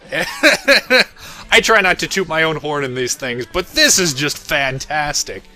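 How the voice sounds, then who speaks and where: A man with a bright, crisp-edged voice talks casually as a creator commenting on his own series. He is chatty and self-amused, drifting into asides and filler phrases. Music plays softly beneath him. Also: a little high, expressive, takes it slowly. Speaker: creator doing episode commentary. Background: music playing underneath.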